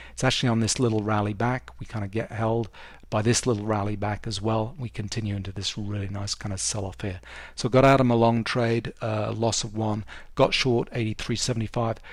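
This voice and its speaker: A man's voice: lowish voice, husky, no echo. A man giving a casual, emphatic market commentary as a trading instructor, talking through a screen share in an informal way.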